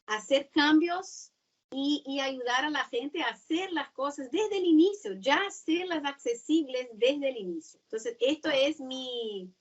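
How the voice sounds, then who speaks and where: A woman who is not a native Spanish speaker, giving a seminar talk and often pausing to search for words. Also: high-pitched, distant mic, muffled recording. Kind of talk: webinar talk.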